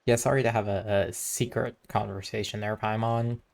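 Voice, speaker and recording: A woman's voice: extremely low pitch, fairly even intonation, moderate pace, gravelly, a little mellow. A woman giving casual commentary as she streams a game, with music behind her. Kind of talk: casual commentary while playing.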